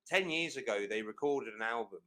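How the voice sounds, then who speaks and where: A young man hosting a relaxed movie chat, his voice bright and forward, with pitch sitting in an ordinary male middle. He rambles with enthusiasm, circling back with fillers and quick restarts as he explains what he loves. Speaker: podcast host. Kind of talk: casual chat.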